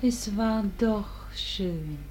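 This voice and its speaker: An actress playing a tender, wounded young former sweetheart, speaking in a soft, lingering way in a 1940s verse radio drama. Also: female voice, medium pitch, extremely slow. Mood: wistful, hurt. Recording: old 1940s radio recording, faint music.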